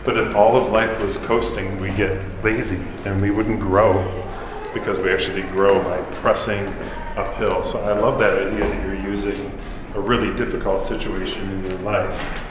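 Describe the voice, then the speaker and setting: A man's voice: on the low side, flat delivery, normal speed, background noise. A man interviewing someone in a conversational, supportive and encouraging way.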